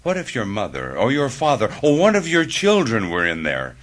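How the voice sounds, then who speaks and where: A man's voice: medium pitch, huge pitch swings, normal speed, a bit bright. A man playing an earnest, rather dim news executive in a satirical radio broadcast. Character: earnest, slow-witted male news executive.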